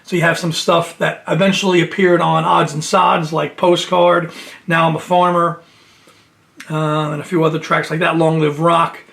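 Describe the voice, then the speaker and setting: A man's voice: fairly high pitch, little pitch movement, normal speed, gravelly, a bit bright. A man casually chatting through an unboxing of a music box set, reading out liner notes with enthusiasm and sniffling from allergies. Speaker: music collector and video host. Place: indoors at home near his shelves.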